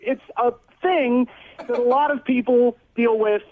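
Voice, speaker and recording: Very high-pitched man on a phone line. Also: unhurried. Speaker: radio caller. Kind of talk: casual phone conversation. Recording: dull noisy phone line.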